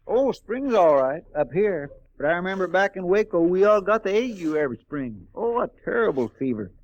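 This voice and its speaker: Good-natured cowboy sidekick, Southern US drawl, higher male voice, chatty, homespun, old radio western. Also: big pitch swings, moderate pace, highs cut off, heavily acted. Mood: rambling, folksy. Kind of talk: 1950s radio western acting.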